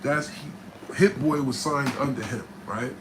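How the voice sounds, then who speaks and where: A man from New Jersey, a rapper, telling a studio story in casual, profane style with a raspy voice, often asking "right?". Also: medium pitch, unhurried. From New Jersey, USA. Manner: animated, profane, keeps checking in with "right?". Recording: noticeable background noise.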